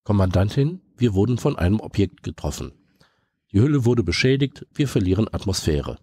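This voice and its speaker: Man acting a low, dark-voiced ship's computer. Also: very animated, slightly rough, dry recording, heavily acted. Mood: formal, reporting. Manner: formal, measured announcements. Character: calm, dutiful male ship's computer in a space audio drama. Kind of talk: science fiction audio drama.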